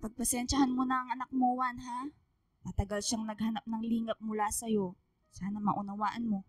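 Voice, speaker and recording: A woman's voice: on the high side, level tone, normal speed, no room sound, soft background music, close to an everyday voice. An actress playing an emotional middle-aged mother, forgiving yet admonishing, in a radio drama, with a Filipino accent. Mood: pleading. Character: middle-aged mother, forgiving of husband, scolding her daughter.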